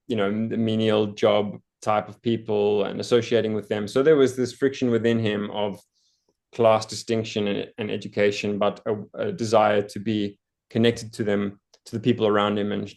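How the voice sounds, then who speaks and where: A man with a low, fairly flat voice talks through a classic novel in a relaxed chat. He is thoughtful, stopping often to restart a phrase or repeat a word before pushing on. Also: gravelly. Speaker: book channel host. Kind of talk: casual book discussion.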